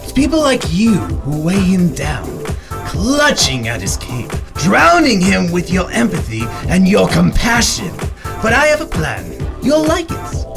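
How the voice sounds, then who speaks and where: Psychopathic clown villain, gleeful, mock-affectionate, wildly animated pitch, radio drama, music behind. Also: male voice, a little slow, creaky, exaggerated voice. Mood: wheedling, grandiose.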